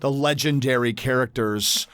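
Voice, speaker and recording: A man's voice: mid-range voice, moderate pace, fairly bright tone, clear, resonant tone. A man hosting a casual podcast interview, responding with enthusiasm to his guest. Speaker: podcast host.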